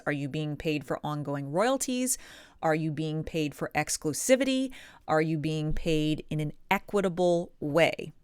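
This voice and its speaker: A woman presenting instructional advice as a coach, with emphatic, deliberate stresses on key words. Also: low voice, smooth, no room sound, clean studio sound. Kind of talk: instructional advice talk to camera.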